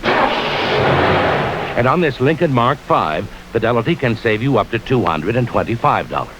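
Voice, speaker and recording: A man's voice: mid-range voice, very animated, a little slow. A man with an American accent reading a scripted advertisement as a commercial announcer. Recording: hissy 1970s AM radio commercial.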